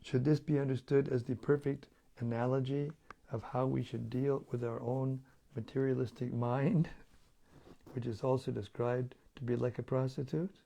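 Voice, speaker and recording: A hoarse man losing his voice, hosting a daily scripture reading in a relaxed, chatty way over an online video stream. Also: mid-range voice, moderate pace. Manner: relaxed, chatty, with light chuckles. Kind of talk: casual talk and Q&A on scripture.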